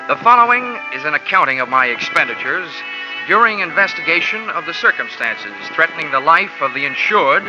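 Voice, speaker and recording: A man narrating as a wisecracking insurance investigator in a 1950s radio drama, with a dry hard-boiled delivery. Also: fairly high pitch. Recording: lo-fi old radio broadcast with music.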